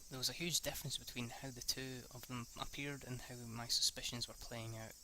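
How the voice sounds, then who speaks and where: A man's voice: mid-range voice, background noise. A young man reading a visual novel aloud in a casual let's-read, stumbling and restarting lines as he goes. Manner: reads haltingly, restarts lines and swears at slips.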